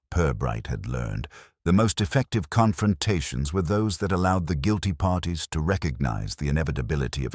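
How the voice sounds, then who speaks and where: A man narrating a mystery audiobook from a script in a measured, formal storytelling manner. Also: rumbling low, husky, no echo, clean studio sound.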